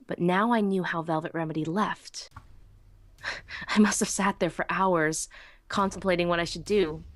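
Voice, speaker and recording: A woman's voice: fairly low pitch, no room sound. A woman narrating a scripted first-person story dramatically, as in a fan audiobook.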